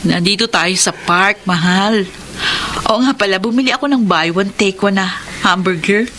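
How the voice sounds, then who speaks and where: A woman's voice: mid-range voice, moderate pace, fairly bright tone, slight background noise, lightly acted. A Filipino woman acting in a radio drama as a devoted, hopeful wife, speaking earnestly and tenderly. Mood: caring, affectionate. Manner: earnest, tender, sentimental delivery. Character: devoted, hopeful wife living with HIV, caring for her husband. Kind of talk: Filipino radio drama acting and first-person letter narration. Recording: radio drama with music underneath.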